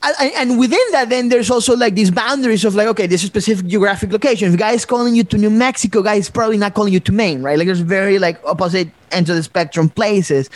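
Guy from ecuador hosting, higher voice, thinks out loud, dry sound. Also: no room sound. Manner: reflective, many fillers and restarts. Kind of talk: conversational podcast talk.

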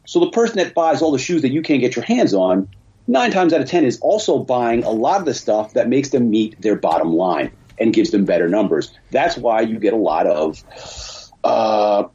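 Over a dull, muffled connection, a man talks in a husky, dark-toned voice. He explains his points bluntly in casual podcast conversation, with animated rises and falls. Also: mid-range voice. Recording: muffled, dull lo-fi call audio.

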